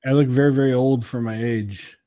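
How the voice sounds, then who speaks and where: A man's voice: mid-range voice, steady tone, brisk, dark tone, dry recording, clean studio sound. A young man co-hosting a podcast, chatting casually and jokingly as he reviews drinks, with a self-deprecating streak. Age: young adult. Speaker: podcast co-host. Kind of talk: casual beer review chat.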